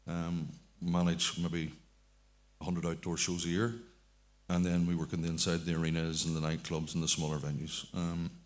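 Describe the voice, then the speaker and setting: A man's voice: deep voice, completely flat delivery, normal speed. A man with a Northern Irish accent introducing himself as a security manager on a panel, matter-of-fact. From Northern Ireland.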